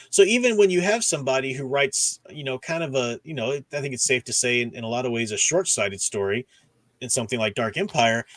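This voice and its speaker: A man's voice: mid-range voice, fairly bright tone, no room sound. A man hosting a livestream, casually discussing books and reading out viewer comments with an enthusiastic fan's rambling asides. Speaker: livestream host.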